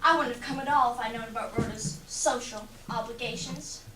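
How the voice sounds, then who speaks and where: A woman's voice: on the high side, a little fast, crowd noise, close to an everyday voice. A woman playing a bitter, drunken grieving mother on stage, rambling and needling as she talks. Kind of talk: theatrical stage acting. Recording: echoey stage, distant mic, faint noise.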